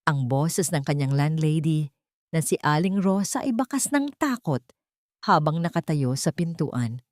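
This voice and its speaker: A woman's voice: deep voice, animated, a little slow, a little mellow, dry recording, studio quality. A woman narrating a scripted dramatic love story in a solemn, sentimental manner.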